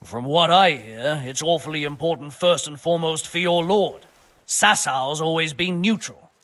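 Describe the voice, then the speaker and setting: Stubborn high-pitched foreman, played by a man. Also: moderate pace, fairly bright tone, lightly acted. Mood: dismissive. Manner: blunt, grudging, haggling. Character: gruff, stubborn, money-minded medieval builder in charge. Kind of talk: video game cutscene acting.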